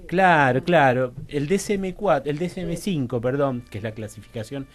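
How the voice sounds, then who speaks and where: A man with an Argentine accent giving an academic explanation as a radio guest, halting and didactic, often checking that he is followed. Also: a little high, expressive. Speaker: interview guest, university teacher and researcher.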